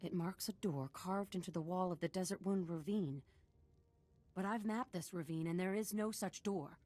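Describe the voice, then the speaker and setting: A composed desert scout acted by a woman from a video game, with a slightly low voice. She is cautious and dutiful, reporting in formal, matter-of-fact sentences. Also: moderate pace, darkish tone, natural voice. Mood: wary, intrigued. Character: dutiful, cautious female desert scout serving a Sith lord. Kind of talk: video game dialogue acting.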